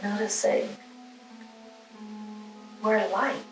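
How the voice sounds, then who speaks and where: A woman leading a guided meditation, giving soothing, gentle instructions in short, spaced phrases. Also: mid-range voice, steady tone, extremely slow. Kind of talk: guided meditation, spoken slowly with long pauses. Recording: roomy, distant mic, music underneath.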